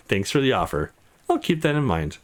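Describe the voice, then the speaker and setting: A man's voice: medium pitch, very animated, unhurried. A man voicing a teasing, wry young woman with a casual, defiant streak in a visual novel game. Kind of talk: visual novel dialogue read aloud.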